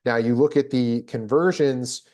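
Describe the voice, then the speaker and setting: A man hosting a business data presentation, explaining figures in a measured, analytical way. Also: mid-range voice, darkish tone. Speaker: host presenting a job market report.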